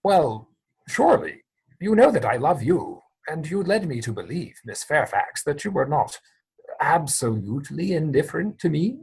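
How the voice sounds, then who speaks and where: A man playing a nervous, lovestruck gentleman suitor in a theatrical period comedy, hesitant and stammering. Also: a little high, very animated, moderate pace, gravelly, slightly rough, full character voice, rough recording. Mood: ardent.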